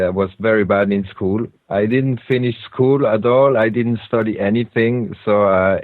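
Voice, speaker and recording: A lower-voiced man with a Swiss German accent hosts a radio show, speaking English as a second language in a loose, unscripted monologue. He stops often to search for words and slips on grammar as he goes. His voice comes through a dry, tinny, phone-like line. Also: no echo. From Switzerland. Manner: halting, with frequent hesitations and non-native grammar.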